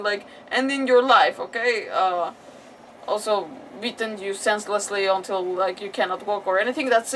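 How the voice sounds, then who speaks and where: Female game streamer, slightly high voice, casual rambling commentary with halting word searches, somewhat distant mic, faint background noise. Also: a bit bright. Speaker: live streamer playing a video game. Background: video game audio.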